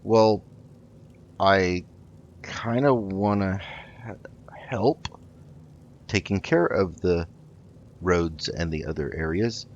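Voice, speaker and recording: A man improvising in character while playing a video game, speaking politely and haltingly. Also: deep voice. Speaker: let's play host. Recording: dull lo-fi mic with noticeable noise.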